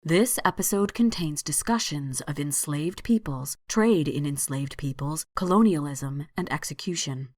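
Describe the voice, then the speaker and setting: A woman host reading a scripted solo podcast episode in a calm, measured way. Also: low voice, no room sound, clean studio sound. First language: not French.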